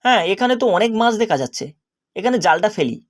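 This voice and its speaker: A man's voice: high-pitched, very animated, fast talker. A man voicing a naive, discontented young fisherman, earnest and plaintive, in a cartoon story. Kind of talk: animated story character acting.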